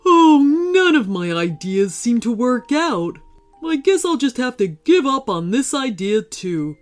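A woman voicing a friendly, earnest male sea monster from a children's storybook, with drawn-out words. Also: fairly high pitch, big pitch swings, faint music behind. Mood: disappointed. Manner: slow, drawn-out, earnest delivery. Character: friendly male sea monster, eager dreamer, easily disappointed.

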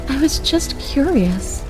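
A woman's voice: sits high, exaggerated voice. A woman playing a withdrawn, curious young female artist in an audio drama, hesitant and guarded.

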